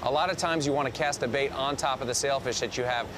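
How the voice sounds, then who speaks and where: A man's voice: mid-range voice, fast talker, background noise. A middle-aged man co-hosting a fishing show from a TV studio, casually explaining fishing technique in detail over the footage. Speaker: fishing show co-host, analyst. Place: TV studio set.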